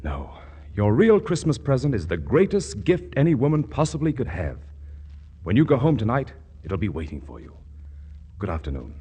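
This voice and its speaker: Pompous businesslike boss in old radio comedy; husky voice, animated delivery, medium pitch. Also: male voice, normal speed, full character voice. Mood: self-satisfied. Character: brisk, self-important male boss bestowing favours. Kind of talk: 1940s radio comedy acting.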